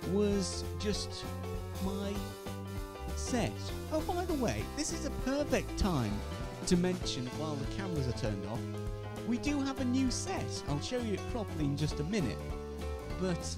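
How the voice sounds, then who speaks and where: A man presents a homemade radio show in a casual, rambling manner. His voice sits fairly high and swings about animatedly. He keeps gently putting himself down as he goes. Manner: rambling, self-deprecating. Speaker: host of an online radio show. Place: home studio and downstairs rooms. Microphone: switches between wireless and studio microphones. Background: background music in places.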